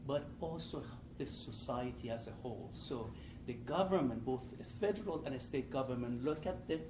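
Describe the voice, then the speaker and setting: A man's voice: mid-range voice, lively intonation, moderate pace. Older Iranian-accented man in an echoey room. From Iran. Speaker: economics professor and panelist. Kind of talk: informal opening remarks to an audience. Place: live panel event in a room with an audience. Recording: echoey noisy room, distant mic.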